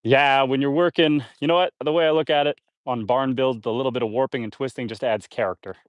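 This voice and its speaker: A man's voice: medium pitch, fast talker, dry recording. Chatty, slightly congested homesteader man. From Canada. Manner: relaxed, chatty, reads viewer comments aloud. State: a little congested. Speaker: homesteader live-streaming. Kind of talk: casual live-stream chat while building. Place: outdoors on a homestead.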